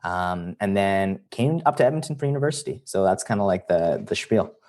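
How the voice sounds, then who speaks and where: A young man from Canada, telling stories as a podcast guest in a relaxed, chatty way. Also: low-pitched, brisk. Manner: relaxed, chatty storyteller, many likes and you-knows. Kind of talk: casual interview chat.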